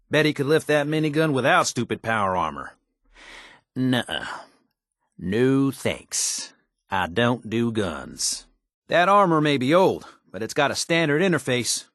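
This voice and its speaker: Animated, folksy American wisecracking tinkerer. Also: male voice, mid-range voice, no echo, studio quality. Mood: blunt, exasperated. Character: folksy, wisecracking male tinkerer and scrappy settler ally. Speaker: video game character voice actor. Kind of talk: video game dialogue, conversational and folksy.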